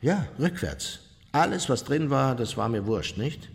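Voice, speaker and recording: A man's voice: medium pitch, expressive, measured pace, gravelly. A man playing an unrepentant Nazi war criminal in a radio play, recounting his deeds in a blunt, self-justifying way. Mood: agitated, boastful. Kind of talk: German radio play, interview testimony. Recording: long reverberant hall.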